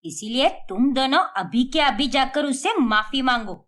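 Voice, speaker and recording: A woman's voice: high-pitched, a bit bright. A woman voicing a dramatic, doting mother-in-law in a cartoon story, pleading and melodramatic. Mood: threatening. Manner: pleading, melodramatic, drawn-out words.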